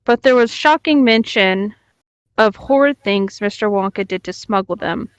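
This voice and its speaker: A woman's voice: mid-range voice, moderate pace, clear, resonant tone, no room sound. Woman reading aloud, close dry sound. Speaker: co-host reading stories aloud. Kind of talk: read-along narration.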